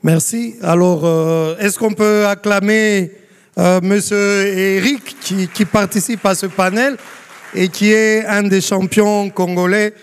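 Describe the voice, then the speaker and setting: A man moderates a live panel formally. His voice is notably high and he speaks slowly and deliberately, with the long reverb of a conference hall around him. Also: fairly even intonation.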